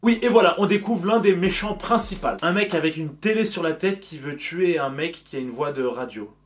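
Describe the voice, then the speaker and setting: A man hosts a comedic cartoon recap in a high voice. His delivery is casual, theatrical and mocking, full of slang. Light music plays underneath. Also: a little fast. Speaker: host of a cartoon recap video.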